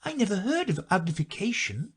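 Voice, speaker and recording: A man's voice: high voice, sing-song, brisk, a bit bright, breathy edge, pitched above the performer's own voice, exaggerated voice. A man voicing a polite, curious young girl who asks earnest questions in a raised voice.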